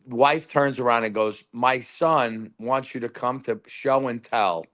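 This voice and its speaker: A man calling in to a sports talk show, telling loud, rambling fan stories and giving his game predictions. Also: medium pitch, a little slow. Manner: rambling, animated storyteller. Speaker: caller. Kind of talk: casual phone-in chat.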